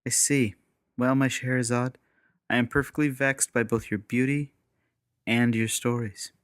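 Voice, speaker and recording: A man's voice: medium pitch, fairly even intonation, moderate pace, clear, resonant tone, no echo, studio quality, natural voice. A man playing a suave, guarded, courtly secret agent in a steampunk audiobook drama. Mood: flirtatious.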